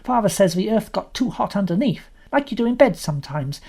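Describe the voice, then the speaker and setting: A woman's voice: medium pitch, animated, brisk, darkish tone, husky, voice pushed up. A woman voicing a teasing, know-it-all older boy in a storybook reading. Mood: explaining.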